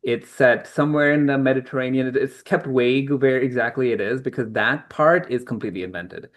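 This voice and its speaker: A man's voice: mid-range voice, fairly quick. A middle-aged man from Pakistan, an author being interviewed, explaining things thoughtfully with frequent ums and restarts. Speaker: author guest. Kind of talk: conversational interview answers.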